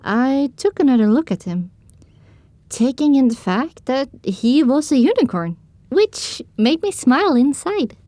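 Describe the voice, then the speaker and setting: High-voiced woman narrating an audio drama. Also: animated, a little slow, warm tone, no room sound. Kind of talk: first-person scripted audio drama narration.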